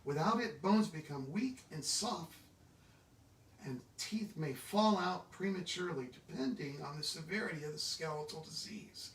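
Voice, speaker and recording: A man reading a children's book aloud, sometimes stumbling over long words. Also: high voice. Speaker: book reader. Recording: echoey room, distant microphone.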